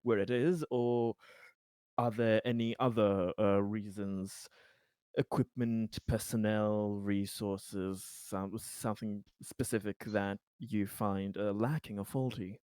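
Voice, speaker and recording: Male, player voicing a courteous corporate interviewer who probes slowly and haltingly; lower register, dry close sound. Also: fairly even intonation, darkish tone, clean tone, no room sound. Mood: politely probing. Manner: halting, slow, deliberate. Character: probing corporate interviewer, politely disappointed.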